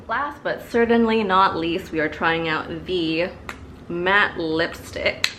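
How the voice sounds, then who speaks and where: A woman's voice: lowish voice, fairly bright tone, a little hiss or hum. Stuffy-nosed young woman reviewing makeup. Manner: chatty, many fillers and repeated words. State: stuffy from allergies. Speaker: beauty vlogger. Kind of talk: casual product review. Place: living room, seated before a couch.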